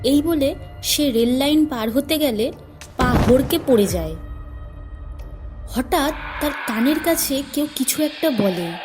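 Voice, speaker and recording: A woman's voice: high voice, expressive, slow pace, long pauses. A woman narrator reading a scripted horror story for a cartoon. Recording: music under the voice.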